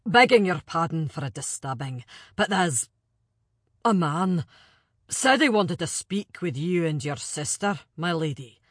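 A woman's voice: fairly low pitch, animated, a little slow, husky, no room sound, studio quality. A woman voicing a grave, deferential male castle guard in a storybook reading, speaking formally. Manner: formal, apologetic.

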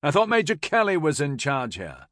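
A man's voice: on the high side, wildly swooping pitch, moderate pace, fairly bright tone, dry recording, clean studio sound, voice pushed up. A man voicing an authoritative special forces major in an audiobook, polite but firm and commanding. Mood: dry banter. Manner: commanding, polite, curt when crossed.

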